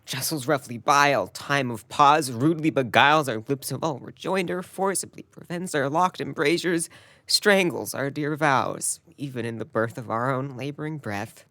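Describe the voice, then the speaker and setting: Ardent young warrior-lover, female actor, very deep register, earnest Shakespearean verse, dry audio-drama sound. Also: moderate pace, a bit bright, no room sound. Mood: anguished. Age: young adult. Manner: earnest, declamatory verse delivery.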